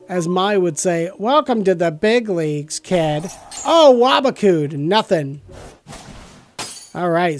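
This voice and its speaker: A man's voice: sits high, a little mellow. Give the voice of a middle-aged American man hosting a card game series. He talks casually through each play into a close desk mic, with music playing behind him. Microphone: close desk microphone.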